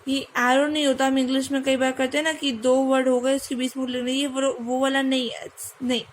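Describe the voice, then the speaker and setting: A young Indian woman teaching a French lesson, mixing Hindi and English and slowly spelling letters out to drill pronunciation. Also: high-pitched, flat delivery, a little hiss or hum.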